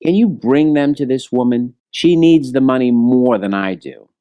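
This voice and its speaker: A man voicing a kindly, poor beggar who pleads gently and earnestly in a children's storybook. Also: medium pitch, moderate pace, clear, resonant tone, no echo, muffled recording, natural voice. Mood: compassionate. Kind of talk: children's storybook read-aloud.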